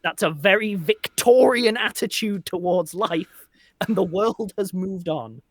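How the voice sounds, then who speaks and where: A man's voice: sits high, lively intonation, unhurried, fairly bright tone. A man chatting casually as an interview guest, earnest and wryly self-deprecating. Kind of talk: casual chat.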